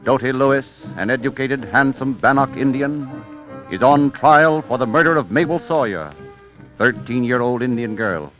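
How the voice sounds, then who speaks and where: A man narrating a scripted story for old-time radio in a formal, deliberate manner. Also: mid-range voice. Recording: dull old radio recording, music.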